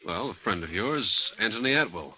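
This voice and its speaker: An actor playing a world-weary, wisecracking missing persons agent in an old radio drama, talking in slangy, sardonic style. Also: male voice, fairly low pitch, animated, moderate pace, sharp tone, slightly rough, exaggerated voice, poor audio. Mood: probing, cool. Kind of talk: old-time radio detective drama acting.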